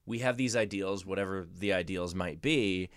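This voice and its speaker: A man's voice: low-pitched, moderate pace, smooth, no room sound. A man hosting a casual interview show in a studio, talking conversationally and reworking his sentences as he goes. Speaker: podcast host and interviewer.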